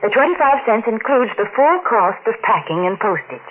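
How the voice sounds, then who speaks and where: A woman working as a sponsor's announcer, giving a folksy, persuasive 1940s radio commercial pitch. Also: very animated, fairly quick. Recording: muffled, noisy 1940s radio broadcast.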